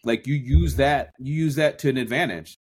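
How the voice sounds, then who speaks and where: A man co-hosting a podcast, thinking out loud in loose, casual talk. He often restarts his sentences and stretches out key phrases while he works toward his point. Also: medium pitch, normal speed, husky. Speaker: podcast co-host. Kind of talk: casual discussion.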